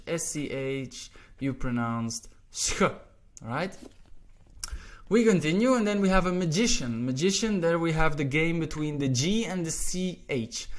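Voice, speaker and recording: A Belgian man with a Flemish accent, a Dutch speaker teaching pronunciation in English, patient and repeating each word slowly. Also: a little high. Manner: patient, repeats words slowly, says "all right" often. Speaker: language teacher. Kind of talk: pronunciation lesson.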